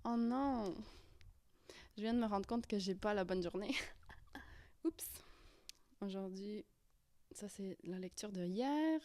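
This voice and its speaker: Slow, soft-spoken, hesitant woman. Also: medium pitch, dry recording. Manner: hesitant, many fillers and restarts, often drops to a whisper. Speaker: YouTuber leading prayer. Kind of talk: casual guided prayer and Bible reading.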